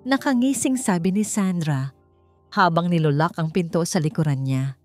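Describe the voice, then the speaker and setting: A woman's voice: lowish voice, normal speed, darkish tone, no echo. A woman reading a scripted romance story as a dramatic narrator. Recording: music under the voice. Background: background music.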